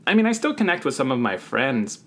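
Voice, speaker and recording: A man from the Deep South co-hosts a laid-back podcast conversation over a video call. His voice has a gravelly edge, and he talks in a thoughtful, reflective way with an occasional laugh. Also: sing-song, brisk. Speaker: podcast co-host. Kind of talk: casual chat.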